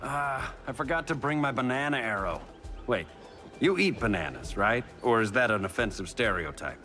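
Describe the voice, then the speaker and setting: Man playing a lively, cocky archer hero. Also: mid-range voice, normal speed, over loud music, lightly acted. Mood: joking. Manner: flippant, sarcastic banter. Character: wisecracking, cocky male archer superhero. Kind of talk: video game cutscene acting.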